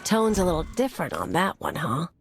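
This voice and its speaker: A brash female anarchist from a video game, tough and sardonic in a lowish voice. Her phrases are clipped and cynical, over faint background noise. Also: very animated, brisk. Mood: cocky, brisk. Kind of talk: video game cutscene acting.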